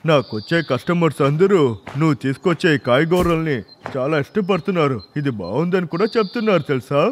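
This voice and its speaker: A man's voice: fairly high pitch, quick pace, lightly acted. A man voicing a friendly, appreciative vegetable shopkeeper in a children's cartoon, with a chummy, approving manner. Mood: friendly, pleased. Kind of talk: animated children's story dialogue.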